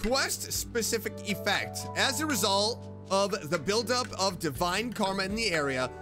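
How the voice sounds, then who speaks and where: A woman giving casual, slangy gameplay commentary as a streamer, animated and quick to exclaim. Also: medium pitch. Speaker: streamer, game player. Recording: game music behind the voice. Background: video game music.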